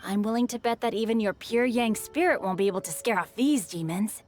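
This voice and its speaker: A woman's voice: mid-range voice, brisk, soft background music, close to an everyday voice. A woman playing a mischievous, nosy teenage girl in a game, with playful, prying delivery. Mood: teasing, prying. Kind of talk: animated game cutscene acting.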